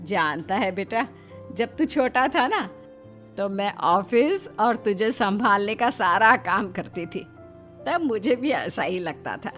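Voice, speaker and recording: An exasperated middle-aged mother-in-law acted out by a woman from a cartoon story, fretting and scolding with motherly care. Her delivery is slow and very animated, with music behind it. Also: medium pitch. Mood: soothing, motherly.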